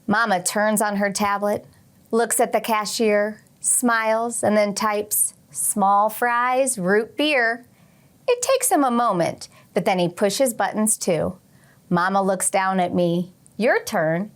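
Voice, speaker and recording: A woman teacher reading aloud and talking to young children, expressively, with questions and pauses for their answers. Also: mid-range voice. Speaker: teacher hosting a children's read-along. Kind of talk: read-aloud and lesson addressed to young children.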